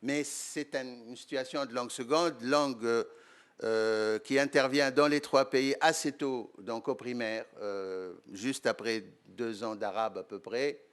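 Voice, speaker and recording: An older man from Tunisia with a Tunisian accent, a writer and professor, answering interview questions at a conference in a measured, hesitant way. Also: mid-range voice, clean tone. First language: Arabic. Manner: measured, hesitant with many fillers. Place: lecture room.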